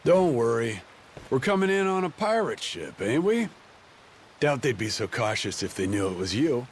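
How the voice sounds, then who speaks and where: A man's voice: mid-range voice, very animated, normal speed, a little hiss or hum, close to an everyday voice. An actor playing an easygoing but anxious middle-aged pirate crewman in a video game, colloquial and conciliatory. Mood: reassuring. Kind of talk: video game cutscene acting. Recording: background music behind dialogue.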